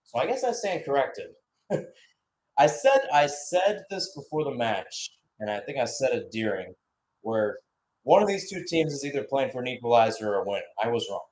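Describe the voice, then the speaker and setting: A man's voice: medium pitch, sing-song, moderate pace, darkish tone, a little hiss or hum. A man giving unscripted live play-by-play football commentary in a conversational, analytical way.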